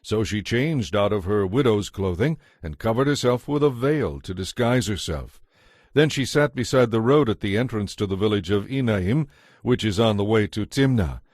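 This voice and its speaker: Male narrator, slightly low voice, expressive melody, scripted scripture storytelling, dry studio sound. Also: moderate pace, no echo. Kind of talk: scripted narration of a dramatized Bible.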